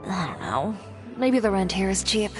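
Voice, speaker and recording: A woman's voice: mid-range voice, very animated, normal speed, music in the background, natural voice. An actress playing a composed, confident female fashion designer in a video game, who speaks in a dry, self-assured way. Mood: cool.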